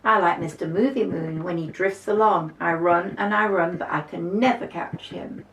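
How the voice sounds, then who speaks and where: A woman voicing a worried, hungry little boy mouse in a high, plaintive, childlike way while reading a storybook aloud. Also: fairly even intonation, normal speed, a bit bright. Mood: wistful. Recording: echoey room, distant mic, faint noise.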